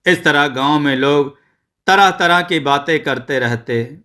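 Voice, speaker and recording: Bright-voiced male storyteller, slow and deliberate. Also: fairly high pitch. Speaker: narrator of devotional moral stories. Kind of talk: scripted story narration.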